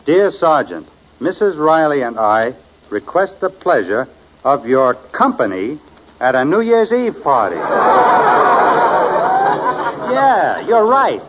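Casting: eager gee-whiz corporal, high, wildly animated, hissy 1940s radio recording. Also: male voice, unhurried, crowd noise. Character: eager, slangy young army corporal. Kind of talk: 1940s radio comedy acting. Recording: old radio recording with clear hiss.

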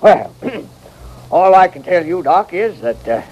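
An actor playing a folksy, gullible saloon keeper with a rustic American Western way of talking, in radio drama. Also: male voice, sits high, big pitch swings, takes it slowly, slightly rough, dull audio, heavily acted. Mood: excited, secretive. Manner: rustic, colloquial Old West drawl. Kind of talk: old-time radio western acting. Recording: noisy vintage radio recording.

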